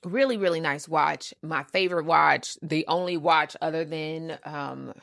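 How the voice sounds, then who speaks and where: A woman hosting a casual storytime, with a lowish, gravelly voice recorded close and dry. She is sassy and emphatic, repeating words to drive a point home and talking in loose slang. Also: measured pace, a bit bright, no echo. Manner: uses slang and swearing. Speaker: storytime podcast host.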